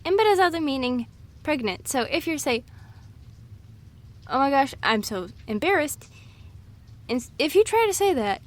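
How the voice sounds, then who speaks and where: A woman's voice: sits high, sing-song, normal speed, pauses often. A woman in a casual, chatty, scatterbrained monologue, rambling through trivia with an amused manner. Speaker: audio roleplay performer playing a rambling girlfriend.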